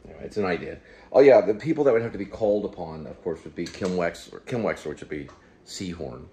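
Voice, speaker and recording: Male, deep register, casual solo commentator who meanders and doubles back, faint noise behind him. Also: fast talker. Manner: rambling, hesitant, circles back and repeats himself. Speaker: YouTube show host and amateur writer. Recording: steady background room noise.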